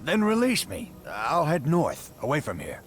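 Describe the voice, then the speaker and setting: An actor playing a cornered, scornful beast captive in a video game, agitated and contemptuous. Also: male voice, on the high side, wildly swooping pitch, normal speed, faint music behind. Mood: scornful, pleading. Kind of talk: dramatic video game acting.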